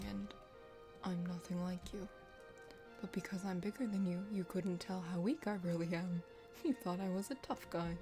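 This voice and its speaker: A woman voicing a contrite, insecure young dragon prince in a soft, breathy ASMR game reading. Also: medium pitch, voice pushed down, close to an everyday voice. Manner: earnest, self-deprecating. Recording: soft music behind.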